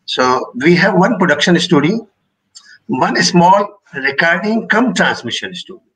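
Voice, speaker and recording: Slightly husky Indian-accented man lecturing. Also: sits high, very animated, a little slow, a bit bright, low-quality recording. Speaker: radio engineering expert giving a presentation. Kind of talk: explanatory lecture with personal recollection.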